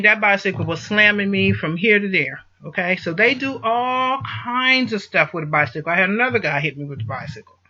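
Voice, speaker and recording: A man's voice: high voice, bright, forceful tone. A man giving an informal, emphatic explanatory monologue to listeners, repeating his points and often checking in, with some distortion on the voice. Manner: emphatic, repetitive, punctuates points with check-in words. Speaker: host of an online advice video. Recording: dull lo-fi recording, voice distortion.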